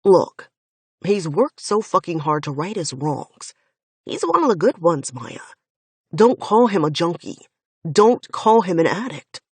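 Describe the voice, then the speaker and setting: A woman reads a heated young man who is furious and protective, hammering each word and swearing freely. The voice sits low and husky in a dry, close sound. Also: big pitch swings, measured pace, darkish tone, no room sound, exaggerated voice. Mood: earnest. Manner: intense, swearing, emphatic.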